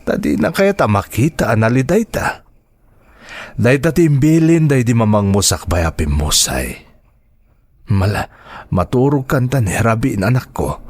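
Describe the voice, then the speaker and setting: A man playing a devoted, gentle father and dutiful son in a radio drama, speaking earnestly and coaxingly. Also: mid-range voice, very animated, unhurried, pauses often, dry recording, clean studio sound. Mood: tender, teasing.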